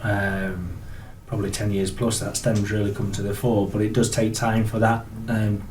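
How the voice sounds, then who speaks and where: A Yorkshire man speaks in even, measured sentences, his delivery flat and unhurried. He sits some way from the mic in an echoey room, and his voice sounds mellow and dark. A faint ambient hiss sits beneath him. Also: lowish voice, distant mic. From Yorkshire, England. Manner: measured, steady, with frequent ums. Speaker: guest, industry alliance CEO. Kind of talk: interview answers. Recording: echoey room, heard from afar.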